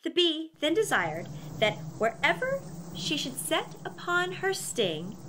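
A middle-aged woman reading aloud to children as a storyteller, with theatrical, deliberate phrasing. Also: high voice, wildly swooping pitch, noisy background. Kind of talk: expressive read-aloud for children.

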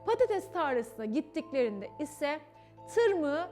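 Young woman, high-pitched, theatrical and emphatic children's storyteller, music underneath. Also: very animated, takes it slowly, darkish tone. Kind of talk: animated children's story narration. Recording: music behind the voice. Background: background music.

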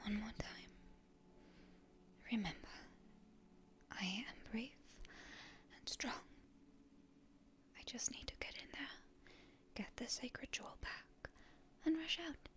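A woman playing a proud, stubborn young heroine in an ASMR roleplay, in a breathy, often whispery voice with indignant bluster. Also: on the high side, expressive, a bit bright. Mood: nervous self-coaching. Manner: indignant, halting, tsundere bluster. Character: proud, stubborn wounded young heroine, defiant toward her enemy.

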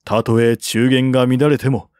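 A man's voice: medium pitch, little pitch movement, normal speed, darkish tone, no room sound, clean studio sound, natural voice. Man reading as a shrewd, formal military strategist. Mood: confident persuasion. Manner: formal, reasoned, persuasive. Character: shrewd, calm male military strategist of ancient China.

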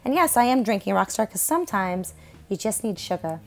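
A woman's voice: mid-range voice, very animated, brisk, creaky. A young woman talks loosely and chattily as she narrates her own stage makeup and hair routine. Her delivery is casual and self-deprecating, broken by frequent small laughs. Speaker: stage performer and makeup vlogger. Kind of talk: casual tutorial voice-over.

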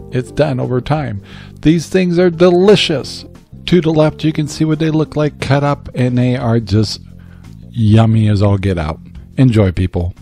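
A man's voice: medium pitch, gravelly, a little mellow. Folksy older man hosting a cooking show. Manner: folksy, chatty, lots of filler "uh". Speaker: cooking channel host. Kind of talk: casual cooking voice-over.

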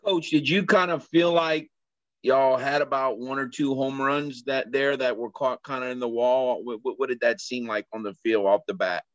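A man's voice: mid-range voice, fairly even intonation, moderate pace, fairly bright tone, no room sound, highs cut off. Man reporter asking casual questions. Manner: casual, says y'all and kinda. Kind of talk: press questions.

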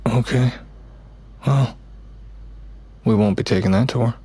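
An actor playing a jaded, sardonic but protective young man with a creaky voice, in a naturalistic audio drama. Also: medium pitch, takes it slowly, warm tone. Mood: weary, dismissive. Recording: faint ambient noise.

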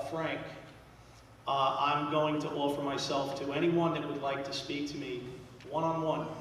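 A man, giving a loud, plain-spoken talk as an engineer at a town hall podium. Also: medium pitch, slight background noise. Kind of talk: public presentation at a podium. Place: large school auditorium. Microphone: podium microphone. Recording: echoey hall, heard from far off.

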